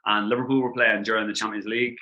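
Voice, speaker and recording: An Irish man in his thirties talks quickly, his voice bright and forward. He chats as a podcast guest, running his stories together with loose "like" fillers. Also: lowish voice, highs cut off. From Ireland. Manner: quick, animated storytelling with frequent "like" fillers. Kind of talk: casual podcast chat.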